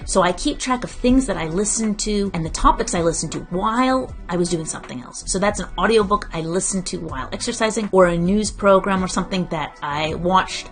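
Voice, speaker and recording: Low-voiced woman explaining a study method. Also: expressive, brisk, few pauses, faint music behind. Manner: clear explanatory delivery with occasional restarts. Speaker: language learning educator. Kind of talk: instructional screen-share tutorial.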